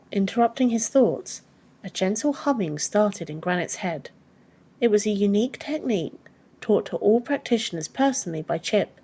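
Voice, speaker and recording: A woman's voice: medium pitch, creaky, dark tone, breathy edge. A woman reading scripted fiction as an audiobook narrator.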